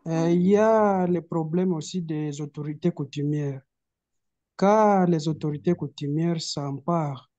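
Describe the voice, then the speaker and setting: A man from the DR Congo, asking two formal questions over a video call in a measured, orderly way. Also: a little high, mellow, lo-fi sound. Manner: measured, orderly, with filler "euh". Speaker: audience member asking questions. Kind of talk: formal question in an online talk.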